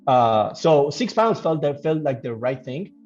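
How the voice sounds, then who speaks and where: A man who works as a game developer gives casual interview answers, thinking aloud haltingly and repeating phrases. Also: on the high side, sing-song, a little mellow. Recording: dull lo-fi audio, music behind. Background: background music.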